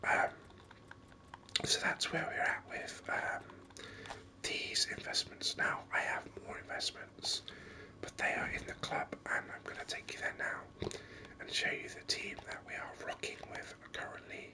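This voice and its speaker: A person giving whispered, breathy ASMR commentary on a video game, speaking softly in an unhurried, explanatory way into a close microphone. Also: rough recording. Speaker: gaming video host.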